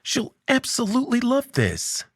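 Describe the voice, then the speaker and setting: A man's voice: very high voice, huge pitch swings, unhurried, slightly rough, dry recording, pitched above the performer's own voice, exaggerated voice. A cheery, gracious female official from a dystopian story, voiced by a male narrator. She gushes politely in a raised, swooping voice, recorded dry. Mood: gushing, pleased. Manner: gushing, sweetly polite. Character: cheery, gracious, youthful-looking ancient female government official.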